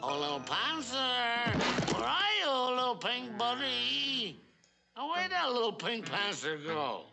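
A man's voice: extremely high pitch, full character voice. An actor playing a friendly, tipsy middle-aged husband in a comic cartoon, slurring and stumbling over his words. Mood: jolly, befuddled. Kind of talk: 1960s comic cartoon acting. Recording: old cartoon soundtrack with music behind.

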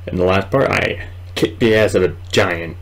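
Low-pitched young man, rambling gamer. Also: moderate pace. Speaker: gaming video host. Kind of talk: casual let's play commentary. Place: small room at home, indoors.

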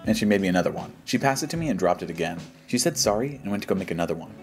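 A man's voice: low-pitched, expressive, racing, vocal fry. A man giving casual, slangy, skeptical commentary and reading posts aloud in a joking way. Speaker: host of a reaction show. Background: background music.

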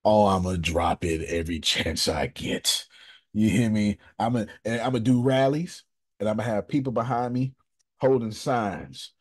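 A man hosting a casual coaching call over video, animated and emphatic, with slangy, repetitive phrasing. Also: lowish voice, normal speed, husky. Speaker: business coach and host.

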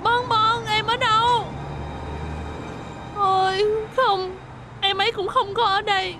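Very high, anxious cartoon truck. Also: female voice, steady tone, takes it slowly. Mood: worried. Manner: worried, earnest. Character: anxious, caring young female car-carrier truck. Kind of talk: children's cartoon dubbing. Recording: music behind.

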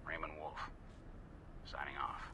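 An actor playing a grave, principled middle-aged resistance informant in a video game, speaking solemnly and deliberately. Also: male voice, extremely low pitch, flat delivery, noisy background. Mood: solemn, resigned. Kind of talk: video game radio message.

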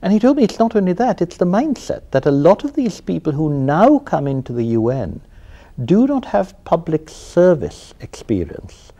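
Lively Sri host, a man. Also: a little high, moderate pace. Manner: animated, questioning, with hesitant fillers. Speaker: interview host. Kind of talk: formal studio interview. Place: TV studio. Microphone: lapel.

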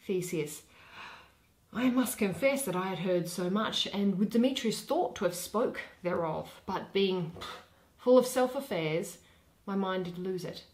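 A young woman giving an expressive dramatic reading of a Shakespeare play, with theatrical, deliberate phrasing and pauses. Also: medium pitch. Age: young adult. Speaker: reader.

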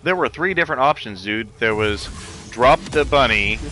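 A man's voice: medium pitch, expressive, normal speed, pushed and bright, a little hiss or hum. A man playing a multiplayer game as a teammate, chatting casually with questions and complaints. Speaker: gamer, teammate. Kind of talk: casual gaming chat.

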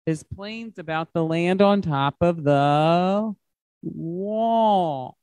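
Slow-paced man reading to children. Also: high voice, a little mellow, smooth, no echo, faint music behind. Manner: asks the listening children questions, simple emphatic phrasing. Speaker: guest reader at children's story time. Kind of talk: expressive picture-book read-aloud to children. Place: large glass-walled library room.

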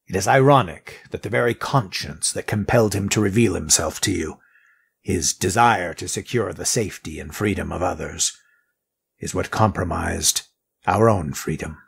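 Man playing a deep, gravelly, grave guide. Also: a little hiss or hum. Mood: bitter. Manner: formal, deliberate, dour. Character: grave, stern man, knowledgeable guide of cursed roads. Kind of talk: audio drama acting.

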